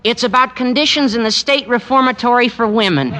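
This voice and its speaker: A man's voice: very high-pitched, a little fast, pushed and bright. A man playing an eager, gabby, naive teenage schoolboy in 1940s radio comedy, earnest and gushing. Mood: gabby, excited. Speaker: actor in a radio sitcom.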